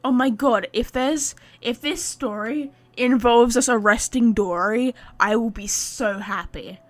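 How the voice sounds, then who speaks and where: A woman's voice: on the high side, a little slow. A woman live streaming a game, chatting informally to her viewers with wry, casual commentary.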